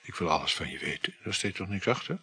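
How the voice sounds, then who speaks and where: A man's voice: low voice, lively intonation, fast, pushed and bright, breathy edge, heavily acted. An actor playing a needy, wry, jealous married lover in his thirties in a Dutch radio drama, with dry, hesitant replies. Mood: jealous, resigned. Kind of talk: Dutch radio drama acting, intimate dialogue.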